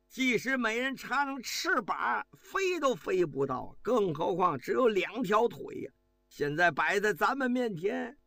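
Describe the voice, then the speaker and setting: A man's voice: high-pitched, vocal fry, dry recording, voice pushed up, exaggerated voice. A man doing a fiery army officer. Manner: fiery, pleading, shouting rhetoric. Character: impassioned male army officer rousing troops.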